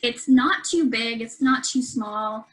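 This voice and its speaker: A young woman from the Philippines talks about her studies in a chatty, easygoing way. Her voice is bright and a little high. She fills her talk with small pauses and fillers. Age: young adult. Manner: chatty, with fillers and light laughs. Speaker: university student. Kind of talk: casual webinar introduction.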